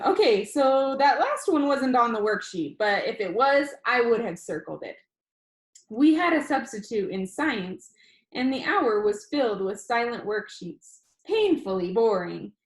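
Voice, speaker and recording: A woman's voice: on the high side, echoey room. A woman teacher speaking informally and expressively to an online class, as when reading a story aloud. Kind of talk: classroom read-aloud, first-person storytelling. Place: indoor room, online class call.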